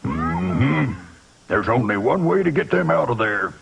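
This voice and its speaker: Gravelly, animated good-hearted hunter. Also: male voice, medium pitch, a little slow. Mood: concerned, resolute. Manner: earnest, folksy. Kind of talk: animated fairy-tale voice acting. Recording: VHS cartoon soundtrack with loud music.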